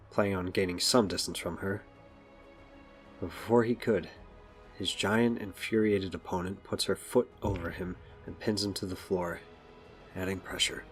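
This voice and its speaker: A man's voice: sits low, a bit bright. A man narrating a scripted action story as the narrator of an audio drama.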